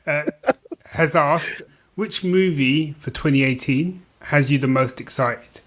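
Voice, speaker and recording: Slightly high-voiced man in casual banter. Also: a little slow, a bit bright. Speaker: podcast co-host.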